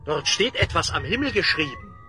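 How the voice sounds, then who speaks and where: A man's voice: on the high side, very animated, fairly quick. A man playing a haughty, cruel slave overseer with imperious theatrical delivery in a German fantasy audio play. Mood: smug. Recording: music behind.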